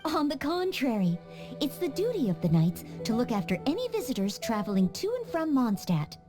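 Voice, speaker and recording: A woman's voice: fairly high pitch, big pitch swings, moderate pace. A woman voicing an earnest, dutiful young maid who trains as a knight in a video game, speaking politely and formally. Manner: polite, formal and eager to help. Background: soft game music.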